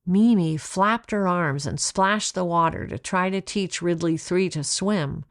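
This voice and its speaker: A woman narrating a children's storybook in a measured, expressive way, like an author reading aloud. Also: lowish voice, no echo, clean studio sound.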